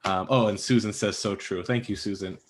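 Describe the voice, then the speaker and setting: A man moderating a panel casually as host, halting and repeating words as he starts sentences. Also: on the low side, steady tone.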